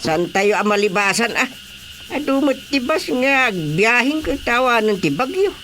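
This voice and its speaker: A woman's voice: on the high side, very animated, a little slow, fairly bright tone, slight background noise. Opinionated, chiding village woman. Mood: explaining. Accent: Ilocano. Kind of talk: radio drama comedy acting.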